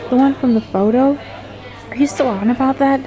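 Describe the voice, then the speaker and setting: A fairly high-voiced young woman played by an actress talks as a blunt, uneasy friend in an audio drama. Her delivery is casual and conversational, with clear crowd murmur around her. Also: fairly quick, lightly acted, low-quality recording. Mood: exasperated, uneasy. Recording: busy crowd murmur behind.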